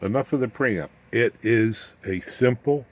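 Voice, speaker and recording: A man's voice: medium pitch, measured pace. A man explaining electronics informally as a hobbyist presenter, in a folksy, rambling, slangy manner.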